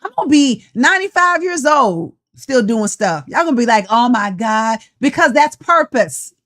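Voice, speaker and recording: A woman in her sixties with a slightly high voice. She talks straight to her viewers like a preacher, urging them to fight. She sounds passionate and a little tired. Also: sing-song, normal speed, a bit bright. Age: 60s. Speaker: life coach. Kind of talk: motivational live-stream talk.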